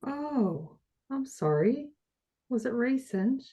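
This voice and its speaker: A woman's voice: a little high, big pitch swings, slow, mellow, exaggerated voice. A woman playing an anxious, sympathetic, apologetic character in a comic stage play. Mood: concerned.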